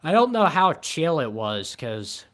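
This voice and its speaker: A man in his twenties chatting casually on a late-night livestream in a flat, monotone, low-key way. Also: a little slow. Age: 20s. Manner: monotone, calm and low-key, says he shows little emotion. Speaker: livestream host.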